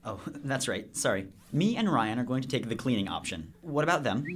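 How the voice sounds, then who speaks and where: An actor playing a cheeky, eager teenage prankster in a radio drama, rambling breezily in casual slang. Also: male voice, mid-range voice, very animated, fairly quick, a little hiss or hum, close to an everyday voice. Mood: breezy.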